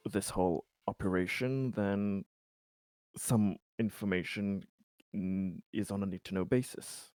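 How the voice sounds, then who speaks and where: A man playing a formal, halting colony official, low-voiced. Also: fairly even intonation, darkish tone, no room sound. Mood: earnest, formal. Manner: halting, wordy, formal corporate phrasing. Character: formal, diplomatic male colony official, earnest and optimistic. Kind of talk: improvised tabletop roleplay dialogue.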